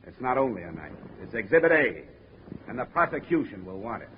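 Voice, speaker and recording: A man's voice: fairly low pitch, big pitch swings, a little fast. A man acting a tough, wisecracking investigator in a 1950s radio detective drama, with an American accent and a clipped, needling manner. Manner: hard-boiled, needling, clipped. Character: tough, wisecracking male insurance investigator narrating his case. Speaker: lead actor and narrator. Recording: muffled, noisy 1950s radio recording.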